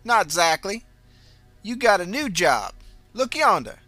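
A man's voice: sits high, big pitch swings, a little slow, pushed and bright, no room sound, faint music behind, voice pushed up, heavily acted. A man voicing an elderly female ghost messenger from Louisiana, with a Louisiana Creole accent, talking in a drawling dialect with chuckles. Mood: teasing.

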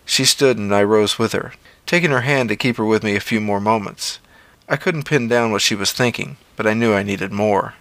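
A man's voice: lowish voice, moderate pace, a bit bright, no echo. A man narrating a scripted first-person story as an audio fiction reader.

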